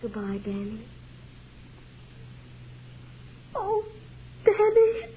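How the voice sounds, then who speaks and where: A woman's voice: a little high, very animated, takes it slowly. Tender young American woman, tinny old radio. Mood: concerned, tender. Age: young adult. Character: tender, naive working-class young woman in love. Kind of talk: 1940s radio drama acting. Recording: noisy, tinny 1940s radio broadcast.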